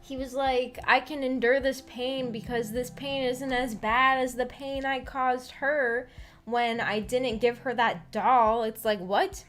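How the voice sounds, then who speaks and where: Female streamer for a casual book club discussion: light high voice, rambling and animated, soft music underneath. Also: slow pace. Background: background music.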